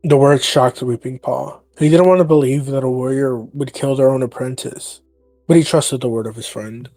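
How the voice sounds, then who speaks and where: A man's voice: medium pitch, steady tone, normal speed, mellow, no echo. A man narrating a scripted third-person animal story as part of a roleplay. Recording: dry, music behind.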